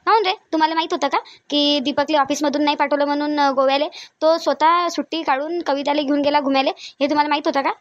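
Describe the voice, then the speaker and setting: A woman's voice: sits high, steady tone, quick pace, dry recording, highs cut off, lightly acted. A woman playing a stern, bossy mother-in-law in a family drama, scolding insistently in a Varhadi Marathi accent. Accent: Varhadi Marathi of Vidarbha. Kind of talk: amateur family comedy-drama dialogue.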